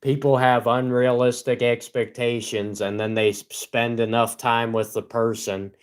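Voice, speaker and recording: A young man with a gruff voice, rambling cynically on his livestream and laughing between points. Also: medium pitch, level tone, unhurried. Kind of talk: casual solo livestream rant.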